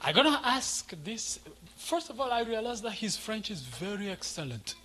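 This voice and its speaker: A man's voice: sits high, big pitch swings, measured pace, bright, forceful tone. A middle-aged man preaching as a guest pastor, speaking in short phrases and addressing his listeners directly. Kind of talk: sermon to a congregation.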